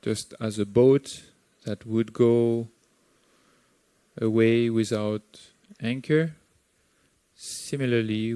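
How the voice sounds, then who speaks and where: A man with a Sri Lankan accent leads a guided meditation in a slow, unhurried voice. His tone is smooth and clear, and gently instructive. The recording is close and dry, with no room sound. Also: fairly low pitch, no echo. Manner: slow, calm, gently instructive with long pauses. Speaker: meditation teacher.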